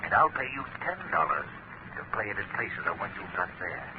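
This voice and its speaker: An actor playing a mysterious, commanding crime-fighter with a sinister, deliberate manner in a 1930s radio drama. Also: male voice, on the low side, sing-song. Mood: scheming. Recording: noisy, narrow-band 1930s radio recording.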